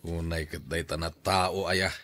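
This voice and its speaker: A man's voice: low voice, flat delivery, measured pace, bright, forceful tone. An actor playing a kindly older father looking after his grown daughter, in a Filipino radio drama with a Filipino accent. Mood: concerned, kindly.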